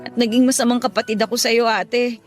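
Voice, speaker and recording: A woman's voice: fairly high pitch, little pitch movement, fairly quick, soft background music, close to an everyday voice. A woman playing a remorseful, long-suffering single mother and younger sister in a radio drama, speaking in a plaintive, confessional way. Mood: regretful, sorrowful.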